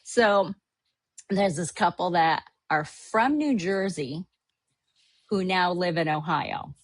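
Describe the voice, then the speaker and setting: A middle-aged woman from Hawaii tells a casual, rambling story as a podcast host, full of fillers and false starts. Her voice sits a little low, and the sound is dry and close. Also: expressive, slow, dry recording. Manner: chatty, rambling with many fillers and restarts. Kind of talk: casual chat recapping a trip.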